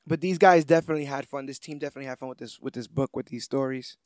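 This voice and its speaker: A man co-hosting a podcast, chatting casually, telling things in an animated way and speaking straight to listeners. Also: medium pitch, rapid-fire, no room sound, clean studio sound. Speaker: podcast co-host. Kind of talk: casual chat, comic book recap.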